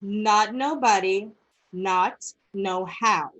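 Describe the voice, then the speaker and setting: A woman's voice: medium pitch, extremely slow, fairly bright tone, muffled recording. A woman voicing a bored, dismissive receptionist with a drawling delivery. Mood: snide. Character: bored, snide female receptionist.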